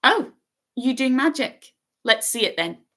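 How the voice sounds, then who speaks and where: A woman voicing a bossy, eager young schoolgirl who talks very fast. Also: sits high, big pitch swings, sharp tone, voice pushed up, heavily acted. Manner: fast, breathless, self-important.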